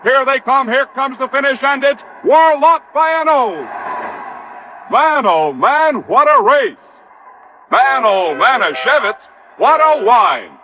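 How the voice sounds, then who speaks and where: Male radio ad voice: high-pitched, excitable sportscaster delivery, dull vintage recording. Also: takes it slowly, faint music behind. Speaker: commercial pitchman. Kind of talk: excited 1950s radio advertisement, sportscaster manner.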